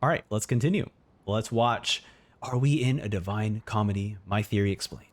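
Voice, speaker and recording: A man in a casual livestream reaction, talking excitably and often repeating words as he thinks out loud. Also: on the low side, normal speed. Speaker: streamer reacting to a video.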